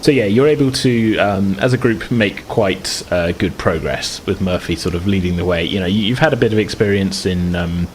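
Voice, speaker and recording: A man's voice: low voice, normal speed, hardly any pauses, a little hiss or hum, rough recording. A man casually narrating a tabletop roleplaying game as game master, in a conversational, hedging way.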